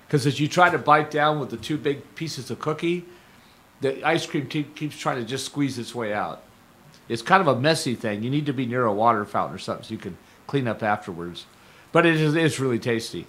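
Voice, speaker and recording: An older man hosting a community radio show from a studio, talking casually in a rambling, digressive way while his voice is going hoarse. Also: medium pitch, a bit bright. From Stockton, California. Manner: rambling, digressive, self-correcting. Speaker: radio host. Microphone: studio microphone.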